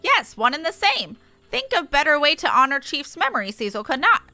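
A woman voicing an excitable birdlike game beastman who squawks and speaks emphatically in inverted word order. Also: high voice, fairly quick, dry recording, faint music behind, pitched above the performer's own voice, full character voice. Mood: proud, reverent.

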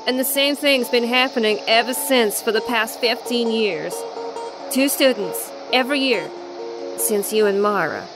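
A woman playing a calm, grave supernatural expert posing as a doctor in a radio drama, measured and gently apologetic. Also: on the high side, music in the background.

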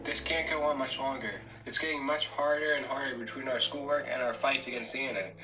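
A man's voice: medium pitch, steady tone, fairly quick, lightly acted. A man voicing a nerdy, driven teenage boy genius in a parody cartoon dub, earnest and bossy. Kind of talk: fan abridged parody dub. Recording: muffled, distant mic with loud music.

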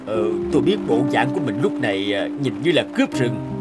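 A man's voice: medium pitch, sing-song, a little fast, over loud music, close to an everyday voice. A man playing an anxious, grateful stranded traveller in a cartoon, speaking plaintively and hesitantly. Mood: relieved, grateful. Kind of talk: cartoon voice acting.

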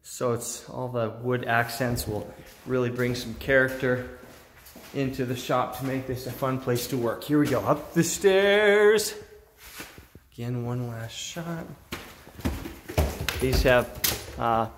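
Unhurried American man in an echoey room. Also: medium pitch. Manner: enthusiastic, admiring, informal. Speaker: vlogger giving a workshop tour. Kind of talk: casual handheld video tour. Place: mostly inside an empty unfinished workshop building. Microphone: phone at arm's length. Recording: echoey empty room, clear background noise.

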